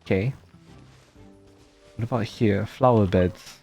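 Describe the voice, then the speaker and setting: Male streamer, relaxed and dark-toned, casually reacting and reading over game music. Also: fairly low pitch, slow pace, gravelly. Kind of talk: casual gameplay commentary, reads dialogue aloud.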